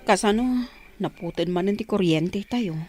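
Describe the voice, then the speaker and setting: A woman's voice: medium pitch, unhurried, darkish tone, natural voice. Woman acting a worried, thrifty wife, faint music. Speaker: radio drama actress. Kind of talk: radio drama acting in dialogue.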